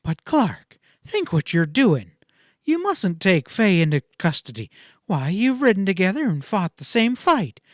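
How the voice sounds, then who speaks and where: A man voicing a scornful, quick-tempered young frontier woman in a western audiobook, speaking in emphatic, cutting outbursts. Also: high voice, very animated, dry recording, studio quality, voice pushed up. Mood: shocked, pleading.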